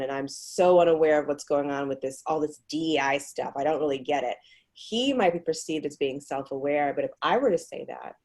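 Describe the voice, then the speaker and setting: Woman with a really low voice on a zoom call, calm and explainy. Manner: measured, thoughtful, explanatory. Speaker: guest speaker. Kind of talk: advice talk on a video call. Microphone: video call.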